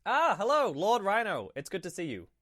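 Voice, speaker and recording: A man's voice: high voice, huge pitch swings, brisk, a bit bright, heavily acted. A man playing an obsequious, nervous Imperial officer in a Minecraft roleplay, flustered and over-polite. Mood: fawning. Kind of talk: hobby Minecraft roleplay acting.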